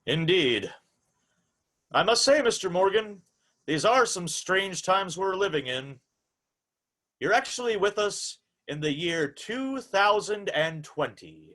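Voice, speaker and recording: A man's voice: high-pitched, very animated, takes it slowly, pushed and bright, full character voice. A man playing a formal, polite old-time radio hour host, announcing in a theatrical, scripted showman's style. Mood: polite, reassuring.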